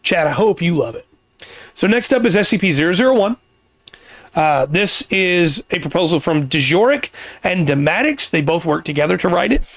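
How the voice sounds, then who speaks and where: A man hosting a casual podcast, explaining things at length with pauses and restarts, his voice getting worn. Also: high-pitched, gravelly, fairly bright tone, no echo. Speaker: podcast host.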